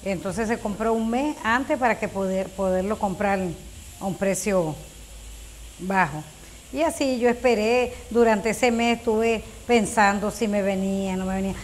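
A woman's voice: mid-range voice, unhurried, slight background noise, low-quality recording. A woman in her fifties from Venezuela, telling her story in a documentary interview, hesitant with many fillers. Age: 50s.

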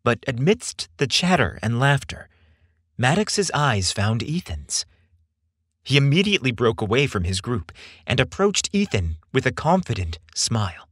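A man narrates fiction in a theatrical, deliberate way. His delivery is slow and very animated in pitch, with a husky edge, recorded dry and close. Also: dry recording. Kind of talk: scripted dramatic story narration.

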